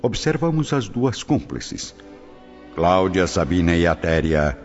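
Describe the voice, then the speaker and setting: A man's voice: sits low, big pitch swings, unhurried, darkish tone, exaggerated voice. A man narrating a radio drama in a theatrical, scripted style. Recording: dull lo-fi audio with music behind.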